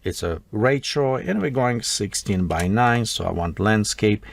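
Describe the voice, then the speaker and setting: A man with a Russian accent walks through a software tutorial at his desk, thinking aloud as he types, his English broken and non-native. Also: on the low side, animated, measured pace, vocal fry. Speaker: tutorial host. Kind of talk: screen-recorded software tutorial.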